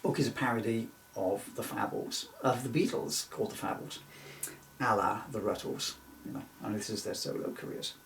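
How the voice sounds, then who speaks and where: A man's voice: lowish voice, normal speed, vocal fry, fairly bright tone, a little hiss or hum. Bloke reading his own comedy book, rambly and self-mocking, echoey room, bit far from the mic. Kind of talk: informal reading with ad-lib asides.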